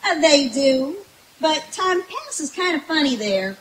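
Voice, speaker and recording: A woman's voice: high voice, big pitch swings, slow, a bit bright, heavily acted. A woman playing a folksy, jokey country hostess in a live old-time radio comedy. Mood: cheerful. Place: outdoor night stage in the woods. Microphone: stage microphone, filmed by distant camera. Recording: noisy outdoor stage recording from afar.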